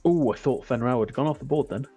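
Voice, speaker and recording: A man's voice: medium pitch, fast, mellow, breathy edge. A man co-hosting live commentary on a tabletop miniatures game, casual and dryly teasing in manner. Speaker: stream co-host and commentator. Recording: close dry mic with faint music.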